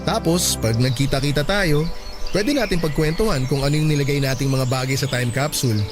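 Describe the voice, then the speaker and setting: A man playing a sincere young male friend who explains a plan calmly but gets a bit defensive when teased. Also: a little high, a little fast, music in the background, lightly acted.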